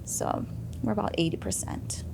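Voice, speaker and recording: A woman business owner giving brief, plain-spoken interview answers. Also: normal speed. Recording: background noise.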